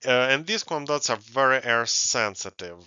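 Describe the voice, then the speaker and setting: A man in his forties giving a scientific conference lecture in a halting, hesitant way with frequent pauses. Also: mid-range voice, expressive, sharp tone, smooth, no room sound. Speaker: university researcher presenting. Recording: dull, muffled lo-fi audio.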